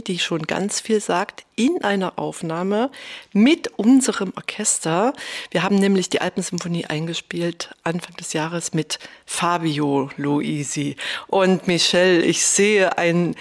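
A woman hosting a podcast in a conversational style, with articulate, deliberately phrased introductions and questions. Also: lowish voice. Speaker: podcast host, orchestra dramaturg.

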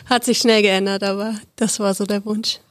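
Woman from berlin, student guest, short answers, flat dry tone. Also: medium pitch, normal speed, no echo. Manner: brief, matter-of-fact.

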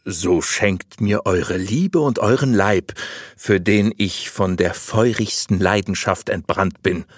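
A man playing a sly, lecherous abbot in a storybook, speaking with unctuous, deliberate persuasion and false piety. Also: sits low, dry recording, clean studio sound. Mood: seductive. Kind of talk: audiobook storytelling.

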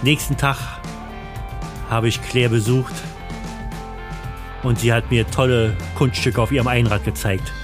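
A man's voice: mid-range voice, fairly even intonation, measured pace, long pauses, over music, natural voice. A man voicing the first-person narrator of a thriller radio play. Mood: narrating.

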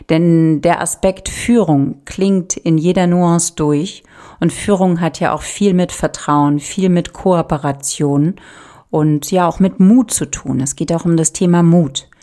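A woman's voice: fairly low pitch, warm tone. A woman from Berlin speaking German as the host of an interview podcast, introducing and questioning her guests.

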